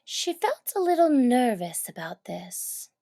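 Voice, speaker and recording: A woman with a high, very animated voice narrates a children's story. She takes her time, phrasing it deliberately and dramatically, in a close, dry recording. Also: creaky, dry recording.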